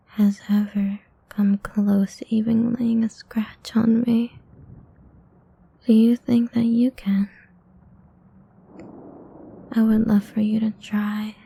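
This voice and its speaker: A woman playing a seductive, domineering ancient vampire queen in an intimate ASMR roleplay, mostly in a breathy, husky whisper, slow, teasing and commanding. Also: mid-range voice, dark tone, full character voice. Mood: possessive, haughty.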